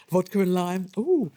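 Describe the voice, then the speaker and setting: A woman's voice: fairly low pitch, dark tone, dry recording. A middle-aged woman, an actress and playwright, chatting animatedly and laughing often in an interview. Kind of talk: interview, reminiscing.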